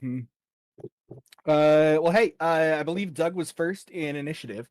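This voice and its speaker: A man acting as game master, narrating a tabletop fantasy game off the cuff in a measured, halting way. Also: a little high, darkish tone, dry recording.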